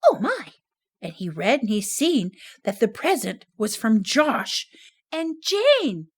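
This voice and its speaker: A woman narrating a children's puppet story in an animated, sing-song manner. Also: a little high, slow, breathy edge.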